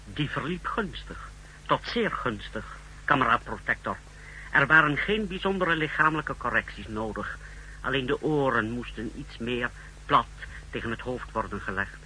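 An actor playing a precise, deferential secret-service laboratory chief in a 1960s radio drama, with a formal, clinical manner. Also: male voice, medium pitch, lively intonation, normal speed, sharp tone, a little hiss or hum, poor audio. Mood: deferential, careful. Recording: vintage 1960s radio recording.

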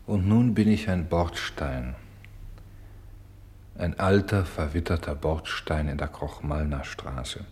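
A man playing an old weathered kerbstone in a radio play, speaking in a pensive, humble, hushed manner. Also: rumbling low. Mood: wistful, hushed. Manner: measured, hushed, dropping to whispers. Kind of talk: literary radio play monologue.

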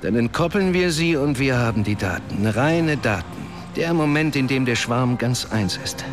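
A man's voice: medium pitch, expressive, moderate pace, lightly acted. Composed, knowing man, acted, music behind. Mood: calm, assured. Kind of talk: German radio drama acting.

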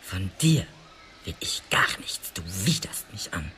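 An actor playing a scornful, wounded former lover in a radio drama, bitter in manner. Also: male voice, deep voice, big pitch swings, a little slow, sharp tone, in a whisper, heavily acted. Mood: contemptuous.

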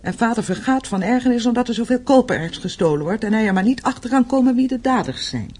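An actress in a radio play playing a thoughtful, foreboding young daughter of a wealthy family, earnest and wistful. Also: female voice, fairly high pitch, lively intonation, fast, a little mellow, slightly rough. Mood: wistful, worried. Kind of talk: Dutch radio play acting.

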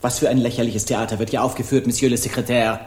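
An actor playing a theatrical, indignant Parisian artist in a German radio crime drama, declaiming with scorn. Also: male voice, mid-range voice, level tone, fast, darkish tone, lightly acted. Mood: scornful, indignant. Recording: roomy, echoing interior.

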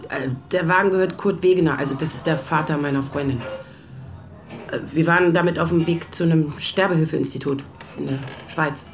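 A woman's voice: low-pitched, brisk. An actress playing a wry, reflective woman writer around forty in an audio drama. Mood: flustered, defensive. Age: middle-aged. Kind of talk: literary first-person narration and acted dialogue in an audio drama. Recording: music behind the voice.

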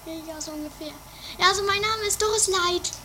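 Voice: very high voice, brisk, a crowd in the background. A performer playing a scatterbrained, dramatic woman who stammers and bluffs in an improvised trash comedy radio play. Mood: flustered bluffing. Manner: stammering, flustered, loses her train of thought. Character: Doris, scatterbrained, shrill, bluffing dramatic sister. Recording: noisy amateur radio play recording.

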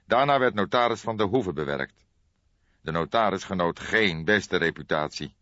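Low-voiced man narrating a crime story. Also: a bit bright, dry recording, dull audio. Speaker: audiobook narrator.

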